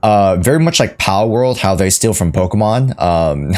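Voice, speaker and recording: A man's voice: lowish voice, lively intonation, measured pace. A man doing casual live reaction commentary as a video game streamer, talking to his chat in slangy, offhand phrases.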